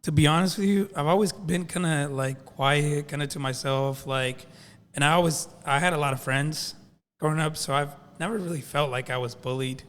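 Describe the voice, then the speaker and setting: A man from Michoacán guesting on a podcast, with a voice pitched slightly high. He talks in a relaxed, easygoing way, mixing in some Spanish, and a long echo hangs in the room. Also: normal speed. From Michoacán, Mexico, raised in Washington State. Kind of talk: casual interview conversation.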